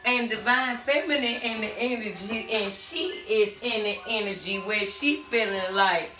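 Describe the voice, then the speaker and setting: A middle-aged woman with a hoarse voice giving a tarot reading to camera in a small room at home, sassy and sing-song, calling out to the spirits. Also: medium pitch, unhurried. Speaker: tarot reader. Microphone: camera standing a few steps away. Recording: echoey room, far from the mic, faint music.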